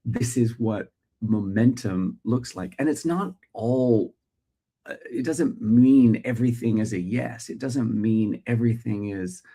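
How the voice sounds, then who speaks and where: Mellow-voiced Australian man on a livestream. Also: on the low side. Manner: reflective, pauses and restarts, greets viewers. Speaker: host. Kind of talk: casual livestream talk.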